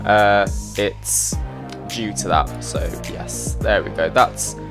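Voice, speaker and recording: A man's voice: on the low side, slow pace, over music. A man, chatting casually on a live stream, greeting viewers by name while sorting out his setup. He has a slight cough and sounds a little bunged up. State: slightly congested, a bit of a cough. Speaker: flight sim streamer.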